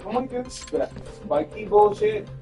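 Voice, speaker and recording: A young man trades loud, profane jokes with a friend in easy banter. His voice is a bit high and sits back from the mic, with faint music behind it. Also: lively intonation, unhurried, far from the mic. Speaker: friend watching the game. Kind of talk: casual chat.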